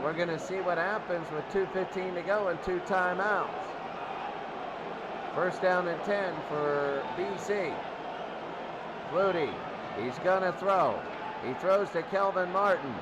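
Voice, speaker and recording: A man calling American football play-by-play in short, repeated, excitable phrases. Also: high voice, unhurried, dull audio. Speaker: play-by-play announcer. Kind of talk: excitable American football radio-style commentary. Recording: loud crowd roar, heavy background noise.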